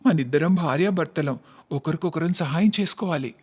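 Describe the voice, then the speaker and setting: A man's voice: fairly high pitch, fairly quick, breathy edge. A man voicing a kind, caring young husband in a cartoon story. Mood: gentle. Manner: gentle, reassuring.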